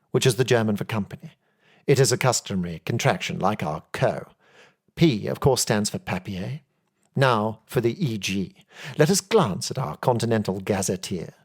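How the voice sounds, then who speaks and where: A man voicing a brilliant, confident detective in an audiobook story, speaking in a brisk, emphatic, self-assured and theatrical way. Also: on the low side, lively intonation, husky. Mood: keen, triumphant.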